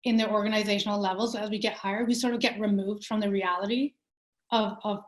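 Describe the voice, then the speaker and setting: A woman's voice: medium pitch, fairly even intonation, quick pace. A young adult woman from Pakistan, a company founder answering interview questions in a thoughtful way, with many fillers and restarts. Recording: roomy echo.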